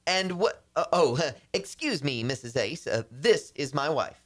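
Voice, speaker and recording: A man's voice: on the high side, animated, a little slow. A man playing a stuffy, pompous boss who recites proverbs, in old-time radio comedy style. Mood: flustered.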